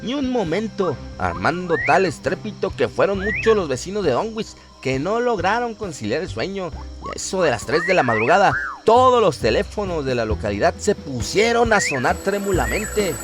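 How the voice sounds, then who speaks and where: A man's voice: sits high, very animated, moderate pace, few pauses. A man narrating a scripted horror radio story in a dramatic, solemn and suspenseful manner. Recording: music bed behind the voice. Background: music.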